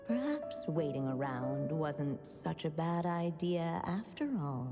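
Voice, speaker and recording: A woman playing a wise, gently wry storyteller in a video game, narrating in measured, literary phrases. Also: deep voice, expressive, big-room sound, loud music behind the voice, full character voice. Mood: serene, wry. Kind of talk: scripted fairy-tale narration in a video game.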